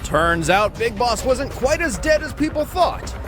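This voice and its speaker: A male host narrates in a fairly high voice with very lively intonation. His delivery is scripted, dramatic and explanatory, with clear music playing behind him. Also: unhurried. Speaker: host of a video game analysis show.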